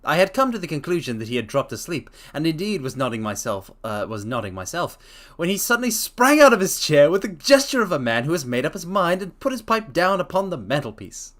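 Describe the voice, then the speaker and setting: A man giving a dramatic storybook reading, theatrical and playful, with excited chatty asides. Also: on the high side, a little fast. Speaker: streamer reading aloud.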